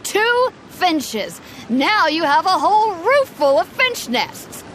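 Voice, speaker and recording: A woman's voice: very high-pitched, very animated, slow pace, background noise, heavily acted. A woman voicing an indignant village farmer woman who talks in an exasperated, sarcastic way. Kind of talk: game voice acting. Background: game music.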